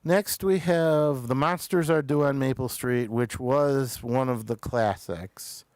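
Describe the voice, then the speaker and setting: A man hosting a casual review show, halting as he searches for words. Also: mid-range voice, a little mellow, smooth, no room sound. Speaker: talk show host reviewing TV episodes.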